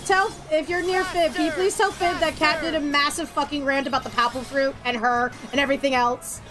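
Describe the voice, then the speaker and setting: A woman streaming a video game, with excitable, theatrical, ranting live commentary. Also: high-pitched, fairly quick. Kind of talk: live gameplay commentary and chat. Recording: loud game music behind. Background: video game music and effects.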